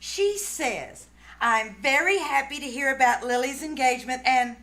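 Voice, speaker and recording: Woman playing high, bright-voiced flustered mother. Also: measured pace, heavily acted. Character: flustered middle-aged mother, exclaiming in alarm. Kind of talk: 1940s radio comedy acting before a live audience.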